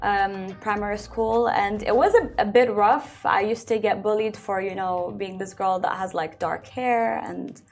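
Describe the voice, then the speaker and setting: A woman's voice: mid-range voice, a little slow, hardly any pauses, soft background music. A young woman who grew up in Belgium, a singer talking casually in an interview, answering in long runs with many fillers. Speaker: singer-songwriter guest.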